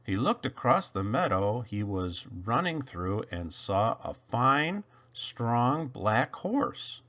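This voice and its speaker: Slow, very animated male storyteller. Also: mid-range voice, lo-fi sound. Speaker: storybook reader. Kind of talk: children's read-aloud narration.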